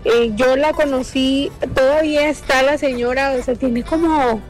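A woman's voice: sits high, steady tone, normal speed, soft background music. A woman leaving a chatty voice message for a radio show, reminiscing about school days. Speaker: listener sending a voice message. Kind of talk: casual voice message.

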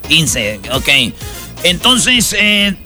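A man with a Mexican accent hosting a casual show, bantering and commenting in animated street slang. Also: high-pitched, drawn-out words, faint music behind. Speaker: radio show host.

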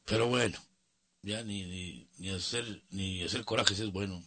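A man's voice: sits low, unhurried, sharp tone, no echo. A man with a Mexican accent hosting a radio sports show, commenting casually with opinions and colloquial, grumbling asides. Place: radio studio.

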